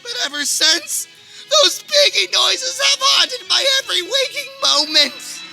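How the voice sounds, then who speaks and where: A woman's voice: extremely high pitch, sing-song, a little slow, creaky, music in the background, heavily acted, rough recording. A woman playing an overwrought, haunted man telling his childhood trauma in a comedic radio play, drawn out and theatrical. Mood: bitter, wailing.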